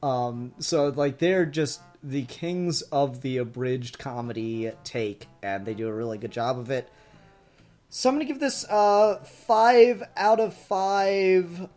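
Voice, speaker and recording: A man hosting a podcast in a casual, animated review chat. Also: fairly high pitch, slow, faint music behind. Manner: giggly, animated quote re-enacting. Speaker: podcast host.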